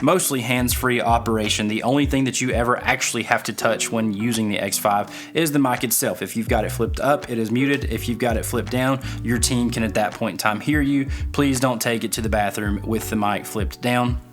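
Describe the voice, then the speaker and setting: A man's voice: mid-range voice, brisk, few pauses. Man reviewing gear over background music. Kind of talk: casual review narration to viewers.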